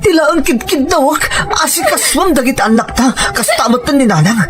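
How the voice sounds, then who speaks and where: A man's voice: extremely high pitch, lively intonation, a little fast. A man with a Filipino accent playing a betrayed, bitterly reproachful husband in an emotional radio drama. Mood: hurt, reproachful. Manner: wounded, accusing, emotional. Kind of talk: Ilocano radio drama acting. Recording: music bed under the voice.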